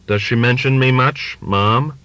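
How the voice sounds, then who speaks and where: A man's voice: medium pitch, unhurried, pushed and bright, clean tone, dry recording, voice pushed up. A man voicing a guarded, weary younger working man, plain and reticent, in an audiobook. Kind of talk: audiobook character dialogue.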